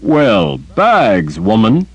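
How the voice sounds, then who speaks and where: A man voicing an old male wizard, boastful and theatrical, with a slow, drawling, grand manner. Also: on the low side, wildly swooping pitch, slightly rough, no room sound, dull audio, faint music behind, heavily acted.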